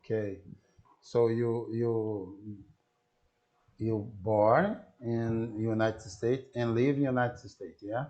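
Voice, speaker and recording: A man with a Brazilian accent makes casual conversation in a second language. He speaks slowly, repeats his phrases and stops to search for the next word. The sound is dull and lo-fi. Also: mid-range voice, steady tone, dark tone. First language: Portuguese. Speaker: English teacher practising conversation. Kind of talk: casual cross-cultural conversation. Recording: dull lo-fi online call audio.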